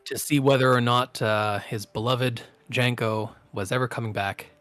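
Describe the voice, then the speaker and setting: Relaxed man running a tabletop game. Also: mid-range voice, no echo. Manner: relaxed, many filler pauses. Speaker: game master.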